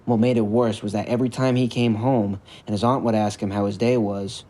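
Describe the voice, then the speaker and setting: A man reading a scripted story narration in a measured, earnest way. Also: on the low side, steady tone, mellow. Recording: music bed under the narration. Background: background music.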